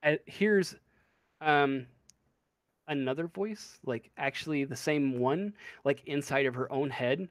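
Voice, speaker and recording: Man, game master, improvised story narration, unhurried, halting, searching for words, dry close sound. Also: mid-range voice, no room sound.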